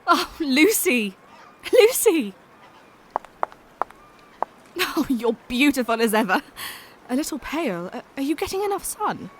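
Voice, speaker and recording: An actress playing a gentle, modest young Englishwoman in an audio drama, speaking tenderly and earnestly. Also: high voice, very animated, measured pace, pauses often, background noise. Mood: fond. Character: gentle, worried young woman, modest friend.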